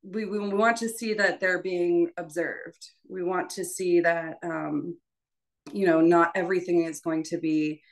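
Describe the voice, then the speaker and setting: This is a woman with a slightly low-pitched voice, speaking as a voice teacher in an informal lesson. She explains in a conversational, halting way, often pausing to rephrase. Her manner is encouraging and affirming. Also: fairly even intonation. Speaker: voice teacher leading an audition prep session.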